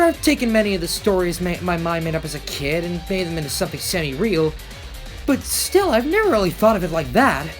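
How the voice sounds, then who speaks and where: An earnest, protective teenage boy hero acted out by an amateur for anime game voice lines. The delivery is emphatic and dramatic, with pitch that moves around a lot. Faint music plays underneath. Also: lightly acted.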